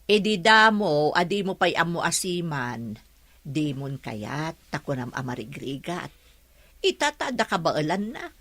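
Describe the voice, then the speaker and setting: A woman's voice: low-pitched, sing-song, a little slow, a bit bright, dry recording, heavily acted. A woman playing a no-nonsense middle-aged wife and mother, chiding and arguing in a radio drama. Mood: scolding. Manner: chiding, argumentative.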